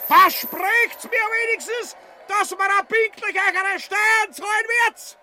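Piercing, bossy Austrian royal. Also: male voice, extremely high pitch, fairly even intonation, takes it slowly, exaggerated voice. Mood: scornful, bossy. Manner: shouting, scolding. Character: shrill, domineering royal distributing money to a crowd. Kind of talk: farcical radio play acting.

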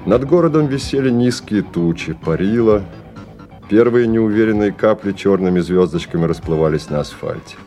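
A man narrating a novel in a radio drama as a measured, sober storyteller. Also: lowish voice, animated, dark tone, faint music behind, lightly acted.

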